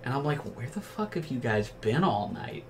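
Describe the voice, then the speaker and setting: A man's voice: medium pitch, sing-song, normal speed, slight background noise. A man telling casual anecdotes among friends in a rambling, profane, incredulous way. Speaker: storyteller.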